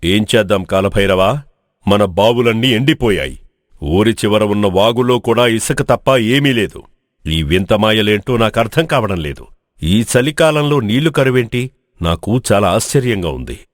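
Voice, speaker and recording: A man voicing a cautious, doubtful village elder in an animated moral story, with a weighty, questioning delivery. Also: fairly low pitch, normal speed, no echo, clean studio sound, lightly acted. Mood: puzzled.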